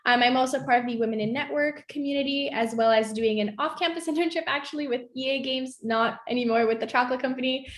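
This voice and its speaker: Young woman from belgium, high voice, talks quick, echoey room on a call. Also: fairly even intonation. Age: young adult. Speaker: student panelist. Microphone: video call.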